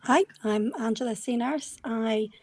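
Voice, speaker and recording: A woman's voice: a little high, measured pace, darkish tone, no echo. Modest woman, dry close sound. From Scotland. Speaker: crime writer guest. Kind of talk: panel discussion.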